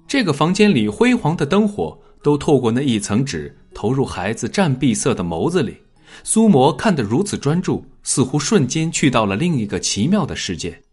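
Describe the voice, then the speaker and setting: A man narrating a scripted dramatic story in an expressive storytelling manner. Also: medium pitch, normal speed, husky, faint music behind. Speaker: audiobook narrator.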